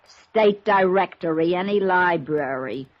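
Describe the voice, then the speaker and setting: An actress playing a brash, crooked fake fortune-teller with slangy, uneducated speech in an old radio drama. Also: female voice, lowish voice, a little slow, lightly acted. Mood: grudging, boastful. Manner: uneducated slangy grammar, streetwise. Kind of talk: 1950s radio drama acting. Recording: dull husky-sounding vintage radio recording.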